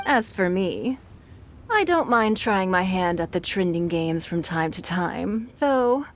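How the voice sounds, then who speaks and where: A woman playing a shrewd, refined, wealthy businesswoman in a video game, speaking in a measured, formal, eloquent way. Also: on the low side, expressive, a little hiss or hum. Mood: gracious, philosophical. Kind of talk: game dialogue acting.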